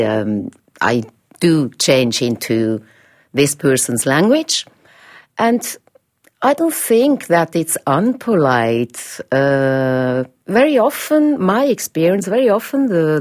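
A woman's voice: a bass voice, darkish tone. A Swiss woman with a Swiss accent, answering questions in a radio interview, thoughtful and hesitant with many fillers. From Switzerland. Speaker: interviewee, head of a language department.

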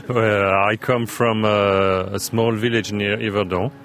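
A man's voice: lowish voice, animated, takes it slowly, a bit bright. A man from Switzerland answering questions in a street interview outdoors, easygoing and laughing often. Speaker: member of the public. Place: outdoors at a gathering.

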